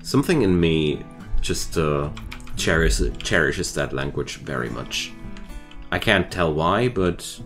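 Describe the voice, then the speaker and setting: A man's voice: very deep voice, animated, slow pace, fairly bright tone, soft background music. A man who is not a native English speaker, chatting casually and rambling with viewers while streaming a game. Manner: rambling and chatty, restarts thoughts.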